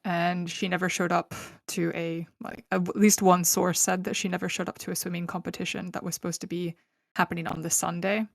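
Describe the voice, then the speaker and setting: A woman's voice: fairly low pitch, flat delivery, a little fast, gravelly, hardly any pauses, dry recording. A young woman hosting a true crime podcast, telling the case in a chatty, laughing way with lots of fillers, on a close mic. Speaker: podcast host. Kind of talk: casual true crime storytelling.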